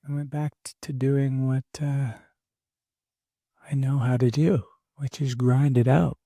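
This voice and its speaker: Hoarse young man, gravelly, flat delivery, dry close sound, halting and candid. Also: medium pitch, dry recording. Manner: halting, candid, many fillers and restarts. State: losing his voice, hoarse. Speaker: podcast host. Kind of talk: reflective personal monologue.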